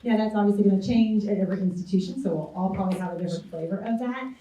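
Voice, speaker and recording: A woman's voice: medium pitch, rapid-fire, mellow. A woman working as a college admissions counselor, explaining a policy on a panel with light laughs. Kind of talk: panel Q&A answer. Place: school auditorium. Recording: echoey hall, distant mic.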